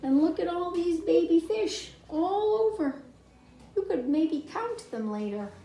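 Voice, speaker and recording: A woman reads a children's story slowly, with a high and lively voice. She speaks straight to young listeners, inviting them into the book. The room adds echo to her voice. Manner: expressive, addressing young listeners with questions. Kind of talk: read-aloud children's story with asides to listeners. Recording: roomy echo, faint background noise.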